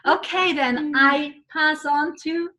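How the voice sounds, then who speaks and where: Middle-aged woman talking to a class in english, high bright voice, takes her time. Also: steady tone. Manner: slow and coaxing, prompting the class to answer. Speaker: event host. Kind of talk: addressing a class of students.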